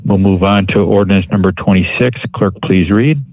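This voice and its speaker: A man runs a board meeting by the book, with set phrases for motions and votes. His voice sits a little low. The sound is dry and slightly dull. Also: normal speed, dry recording. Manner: procedural, formulaic calls for motions and votes. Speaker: board chairman running the meeting. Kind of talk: formal parliamentary procedure.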